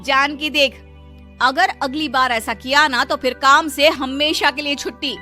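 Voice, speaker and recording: A woman's voice: high voice, fairly even intonation, measured pace, faint music behind, pitched above the performer's own voice. A woman playing a stern, demanding wealthy employer scolding in a dramatized story. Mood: threatening. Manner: sharp, demanding.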